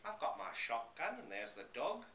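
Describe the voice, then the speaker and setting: An old country caretaker from a storybook, voiced by a man, speaks in a homely, unworried way. His pitch rises and falls freely, and the room gives some echo. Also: wildly swooping pitch, moderate pace, pushed and bright. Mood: matter-of-fact. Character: easygoing old male country caretaker, placid father. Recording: roomy live reading, slight background noise.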